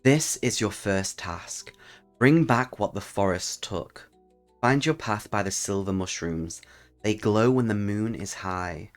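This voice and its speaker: A man acts out a dry, blunt old woman from a dark fantasy tale, in a low register. The old guild leader is terse and unimpressed, with soft music underneath. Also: slow. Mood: ominous.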